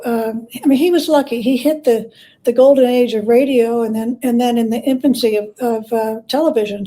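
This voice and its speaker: A woman speaking as a guest expert, explaining history conversationally and often pausing to search for words. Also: on the high side, warm tone. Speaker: guest expert, biographer and scholar.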